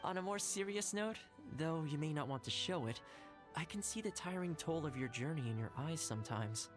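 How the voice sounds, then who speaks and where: A woman's voice: sits low, animated, normal speed, music in the background. A woman voicing a cheerful, easygoing young companion in a video game, chatty, friendly and considerate. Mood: gentle, reflective.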